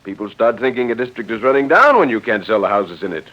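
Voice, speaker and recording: An actor playing an assured, influential gentleman, polite and pragmatic, in 1940s radio drama style. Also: on the low side, animated, fast, natural voice. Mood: assured, businesslike. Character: assured, influential, businesslike gentleman taking charge. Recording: dull old radio recording.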